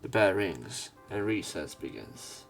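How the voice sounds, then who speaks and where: Low-voiced man reading a visual novel aloud. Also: very animated, slow pace, creaky, over loud music. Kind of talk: narration and commentary of a visual novel.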